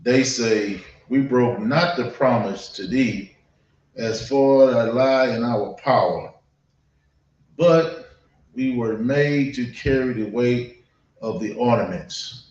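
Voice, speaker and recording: A man's voice: medium pitch, darkish tone. Echoey, distant man preaching. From United States. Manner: halting, repetitive, conversational with frequent check-ins. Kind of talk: informal sermon, public address. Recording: dull, echoey room, distant mic.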